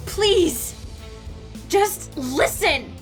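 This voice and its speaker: Casting: woman as idealistic fantasy teen girl, very high, sharp voice, anxious and earnest, unhurried delivery over music. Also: lively intonation, exaggerated voice. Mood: desperate, pleading. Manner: earnest, urgent pleading. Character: anxious, idealistic teenage village girl pleading for peace. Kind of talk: dramatized fantasy audiobook acting.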